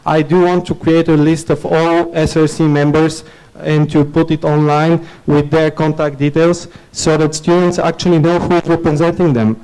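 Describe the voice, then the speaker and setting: A man's voice: on the high side, flat delivery, normal speed, a little mellow, smooth, rough recording. A young man from Bulgaria, Bulgarian-speaking by birth, giving an earnest campaign speech at a podium mic in a dim student venue, with many filler sounds and restarts. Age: young adult. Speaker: student election candidate. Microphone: podium mic on stand.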